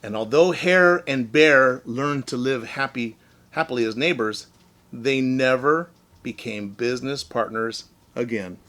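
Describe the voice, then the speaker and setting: Slow, bright-voiced male storybook reader. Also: mid-range voice. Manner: deliberate, explains simply to children. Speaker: teacher reading stories to young children. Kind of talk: children's storybook read-aloud and simple lesson.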